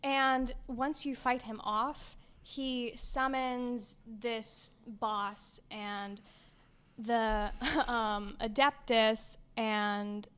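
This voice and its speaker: A young woman speaks as a podcast guest in a fairly high voice. She explains things conversationally, with a playful, giggly manner and plenty of casual slang. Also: fairly even intonation, extremely slow, a bit bright, clean tone.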